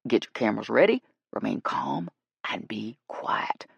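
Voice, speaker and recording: A woman voicing an earnest, stern male wilderness guide in an audiobook, raspy, firm and formal. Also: extremely low pitch, normal speed, dry recording, lo-fi sound, voice pushed down, exaggerated voice. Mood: solemn, commanding. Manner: firm, formal, self-serious. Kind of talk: audiobook character acting.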